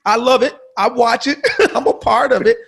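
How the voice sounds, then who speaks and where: Husky high-voiced man making a point. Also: steady tone, normal speed, fairly bright tone. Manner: emphatic, laughs at own wordplay. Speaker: guest panelist. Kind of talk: animated panel discussion.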